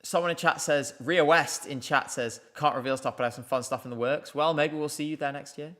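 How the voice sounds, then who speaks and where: A man's voice: on the high side, fairly quick, fairly bright tone. A man hosting a live stream, chatting casually and rambling with many ums as he reads out viewer comments. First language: English. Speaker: livestream host. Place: indoors, streaming from Basel.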